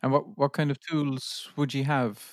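A man's voice: medium pitch, flat delivery, moderate pace, dry recording, studio quality. A man co-hosting a podcast, asking questions in a casual, chatty interview style. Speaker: podcast co-host.

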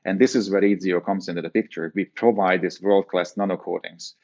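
A man's voice: low-pitched, steady tone, a little fast, a little mellow. A man from Hungary with a Hungarian accent, explaining things as an engineering guest in an interview. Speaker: podcast guest, engineering executive. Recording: dull, lo-fi interview audio.

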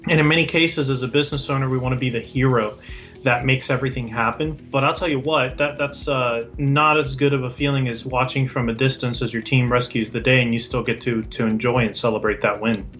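A man's voice: medium pitch, steady tone, brisk, a bit bright, faint music behind. A man from Puerto Rico, a guest running his own IT business, answering interview questions casually with many fillers and restarts. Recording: dull lo-fi sound.

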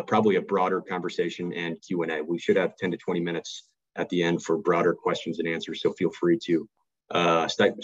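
Deep-voiced male webinar host. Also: highs cut off. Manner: reads a scripted intro, then hesitant with fillers and restarts. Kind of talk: webinar moderation.

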